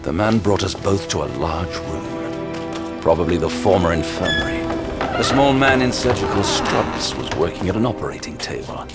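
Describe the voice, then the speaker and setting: An actor playing a grim detective, narrating an audio drama in a terse, grave, suspenseful way. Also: male voice, deep voice, lively intonation, moderate pace, over loud music. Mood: tense suspense. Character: grim male detective recounting a horror case. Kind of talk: first-person dramatic narration.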